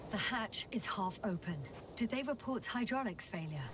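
An actress playing a composed, conscientious woman astronaut and scientist in a game, reporting in a measured, professional way. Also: a little high, lively intonation, noisy background, close to an everyday voice. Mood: concerned. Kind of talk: naturalistic game voice acting. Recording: music behind the voice.

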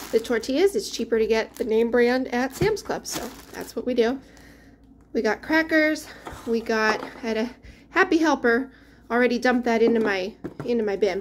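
Young mom, slightly high voice, chatty home vlog on a handheld camera. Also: female voice, normal speed, background noise. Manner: chatty, loses words, trails off. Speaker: mother of a large family, vlogger. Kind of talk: casual grocery haul walkthrough. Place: indoors at home, dining room and pantry. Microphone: handheld camera held at chest height.

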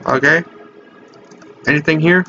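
Young guy with a high voice doing game commentary, lo-fi webcam mic, game music under it. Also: fairly even intonation, unhurried, sharp tone. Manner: jokey, reads game text aloud. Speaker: let's play host.

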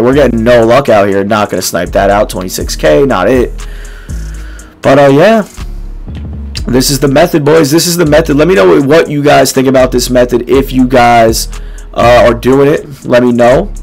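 A young man in his twenties with a hoarse, nearly gone voice, casually commenting on a game to his viewers in a small dark room. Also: medium pitch, low-quality recording. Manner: laid-back, filler-heavy, addresses viewers as boys. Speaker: gaming YouTuber.

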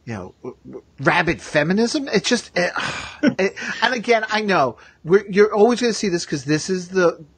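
A man hosts a casual podcast chat. His voice is husky and swings widely in pitch. He talks in a chatty way, thinking aloud, in a dry, close sound. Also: lively intonation, no echo. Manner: chatty, quick to laugh, thinks out loud. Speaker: podcast host.

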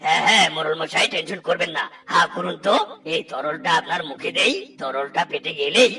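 A man's voice: a little high, fairly quick, sharp tone. A man voicing a reassuring quack village healer in a cartoon. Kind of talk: cartoon voice acting.

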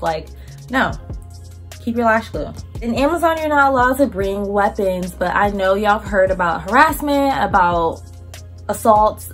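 A young woman, chatting to camera as a vlogger in a casual, slangy, blunt way with swearing. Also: mid-range voice.

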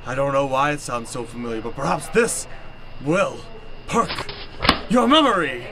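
A man's voice: mid-range voice, animated, moderate pace, noisy background, lightly acted. A man playing a blustering, eccentric older professor in an improvised radio comedy, theatrical, pompous and scolding. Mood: insistent. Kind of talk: improvised old-time radio comedy acting.

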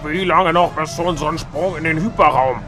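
An actor playing an older, stern and sceptical space admiral in an audio drama. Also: male voice, fairly high pitch, a little fast, loud music behind the voice, natural voice. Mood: commanding.

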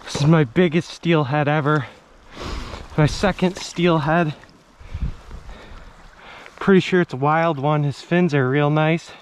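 A man's voice: on the high side, unhurried, pauses often. A young man talking casually to his action camera while fly fishing outdoors by a winter creek. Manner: excited, breathless while landing a fish. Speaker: fishing vlogger. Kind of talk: casual outdoor vlog commentary. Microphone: action camera, chest and arm's length. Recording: faint stream noise outdoors. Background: flowing stream water.

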